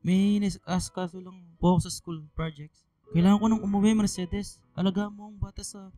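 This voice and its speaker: A man's voice: high-pitched, flat delivery, brisk, dark tone, no echo, soft background music, natural voice. Man acting a hesitant young boyfriend, dry sound. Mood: evasive. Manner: hesitant, defensive. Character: scared, evasive young student boyfriend, reluctant father. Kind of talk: radio drama acting.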